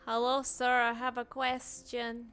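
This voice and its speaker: A woman's voice: fairly high pitch, completely flat delivery, measured pace, a bit bright, faint music behind. A woman streaming a game with casual, playful, exclamatory live reactions.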